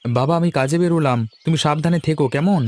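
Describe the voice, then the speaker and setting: A man's voice: fairly high pitch, quick pace, darkish tone, no echo, natural voice. A man voicing a patient, put-upon adult son in a cartoon, placating and mildly protesting. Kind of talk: cartoon dialogue acting.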